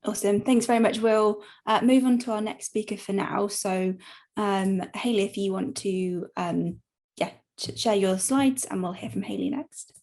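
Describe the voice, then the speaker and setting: A woman's voice: medium pitch, normal speed, a little mellow. Upbeat woman moderating an online panel. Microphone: video call.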